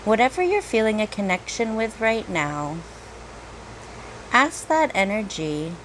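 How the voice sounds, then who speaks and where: A woman leading a guided meditation in a gentle, unhurried manner, leaving long pauses between phrases. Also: fairly high pitch, very animated, a little hiss or hum, poor audio. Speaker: meditation guide, reiki teacher. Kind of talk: guided meditation, slow soothing narration.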